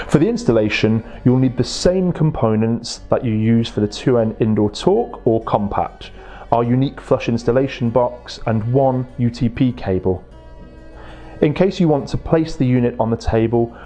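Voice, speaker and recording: Guy doing a scripted product promo, mellow midrange voice, articulate and measured, music behind him. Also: mid-range voice. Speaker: product presenter.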